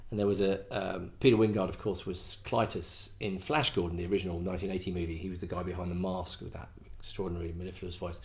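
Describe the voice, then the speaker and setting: A man's voice: sits low, level tone, fast, creaky, few pauses. A middle-aged man from England hosting a casual request show, rambling and self-deprecating, full of fillers and restarts. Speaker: host. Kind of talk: casual chat.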